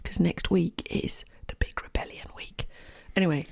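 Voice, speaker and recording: A woman co-hosting a casual podcast, her voice gravelly and a little croaky, cheerful and quick to laugh. The sound is dry and close, with no room echo. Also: on the low side, steady tone, in a whisper. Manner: upbeat, laughing often while telling stories. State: slightly croaky voice. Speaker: podcast co-host. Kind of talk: casual chat.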